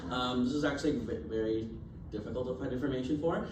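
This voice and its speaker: A man's voice: mid-range voice, fast talker. A young man giving a casual class presentation, chatty, with lots of fillers and easy laughs. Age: young adult. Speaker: student presenter. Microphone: distant recording device. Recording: roomy, distant, noisy classroom.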